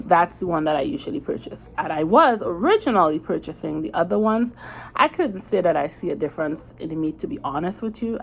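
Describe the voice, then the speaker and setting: A woman's voice: on the low side, slight background noise. A woman with a Trinidadian accent chatting casually to her viewers, rambling a little with fillers and restarts. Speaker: vlogger. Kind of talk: casual chat to camera.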